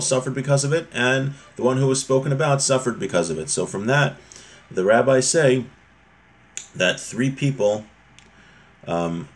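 A man, around his thirties or forties, giving an informal religious lesson, reading and explaining, often rephrasing his points. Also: on the low side. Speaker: religious teacher. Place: small home room, indoors.

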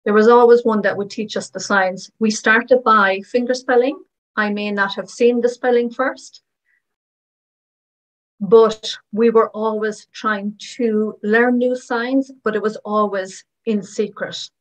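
A woman speaking as a voice-over interpreter, giving first-person testimonies of signers in short, measured phrases. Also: medium pitch, fairly even intonation, low-quality recording. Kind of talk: voicing first-person testimonies in plain narration.

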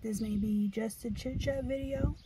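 An American woman in her thirties chatting casually and reflectively in an earnest, halting way, as a vlogger in a car. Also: on the high side, fairly even intonation. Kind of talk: casual, reflective chit chat. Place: inside a parked car. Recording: distant mic, clear background noise.

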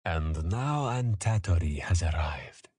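A man voicing an ancient male dragon in a fantasy audiobook, gravelly, formal, grave and patient, with weighty, slow delivery. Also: low voice, animated, a little mellow, dry recording, exaggerated voice. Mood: solemn, regretful. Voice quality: gravelly, tight with disuse.

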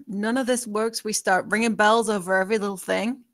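A woman's voice: mid-range voice, fairly even intonation, fairly quick, fairly bright tone, no room sound, studio quality, close to an everyday voice. A woman playing a tough, streetwise mother who leads a crew, blunt, stern and guarded in a script read. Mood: disapproving.

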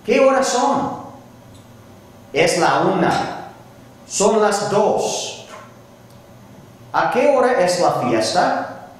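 Male teacher, middle-aged, American accent; fairly high-pitched, deliberate delivery, heard from afar in a reverberant classroom. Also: big pitch swings. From United States. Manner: deliberate, instructive, checking with short questions. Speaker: Spanish teacher. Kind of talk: classroom language lesson. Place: large classroom, indoors. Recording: echoey room, distant mic, faint noise.

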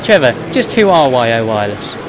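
A man's voice: medium pitch, very animated, brisk. A man interviewing a guest for trade media, putting prepared questions at an exhibition. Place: busy exhibition hall. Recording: busy trade-show crowd noise. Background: crowd hubbub.

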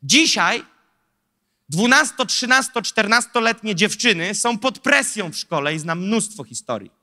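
Animated pastor preaching in a big hall. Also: male voice, high voice, a little slow. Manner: animated, gesturing, rhetorical, with lively asides. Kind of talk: sermon. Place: large church stage in front of an audience. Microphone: handheld mic. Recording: long hall reverb.

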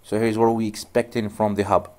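Man, native Arabic speaker, networking instructor, low voice, rambling, thinking aloud, desktop mic. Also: little pitch movement, brisk, mellow. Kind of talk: screen-recorded tutorial.

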